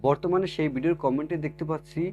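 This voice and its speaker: A man's voice: fairly high pitch, racing, dark tone, lo-fi sound, a little hiss or hum. A man hosting a tech tutorial, addressing viewers in an instructional, scripted way with repeated step-by-step phrasing. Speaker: tech tutorial video host.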